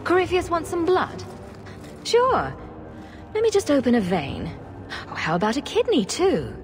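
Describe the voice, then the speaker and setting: An actress playing a bold, sardonic young adventurer heroine in a fantasy video game. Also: female voice, high-pitched, huge pitch swings, measured pace, soft background music, exaggerated voice. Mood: sarcastic. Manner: sarcastic, questioning. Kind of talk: dramatic fantasy game acting.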